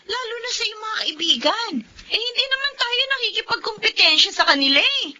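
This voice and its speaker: An actress with a Filipino accent playing a modest, touchy wife in a radio drama, plaintive in manner. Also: female voice, very high-pitched, expressive, brisk, pushed and bright, exaggerated voice. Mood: aggrieved. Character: modest, touchy wife resentful of showy friends. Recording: muffled audio with background noise.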